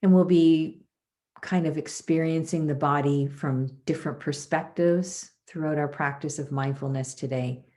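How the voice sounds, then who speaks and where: A woman leading a guided body scan meditation as a teacher, soothing and measured, with pauses between phrases. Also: low voice, fairly even intonation, warm tone.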